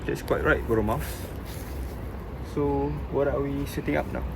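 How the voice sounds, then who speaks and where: A man playing a weary, formal gentleman near sixty in a stage play, speaking in a measured, slightly halting, melancholy way. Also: mid-range voice, fairly even intonation, vocal fry, background noise, natural voice. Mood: subdued, mournful. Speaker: stage actor.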